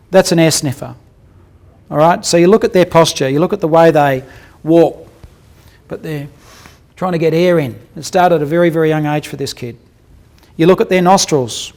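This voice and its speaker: A man's voice: on the high side, creaky, darkish tone. A man giving a clinical dental lecture built around case examples, talking bluntly and colloquially, cracking jokes and putting questions to his audience.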